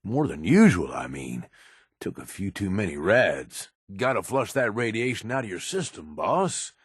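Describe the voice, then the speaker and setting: Gruff low american raider right-hand man for a game, cynical but loyal, swings around a lot, dry close sound. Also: big pitch swings, measured pace, dry recording, exaggerated voice. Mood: gruff concern. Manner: blunt, folksy, drawling slang with swearing. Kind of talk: video game companion voice lines.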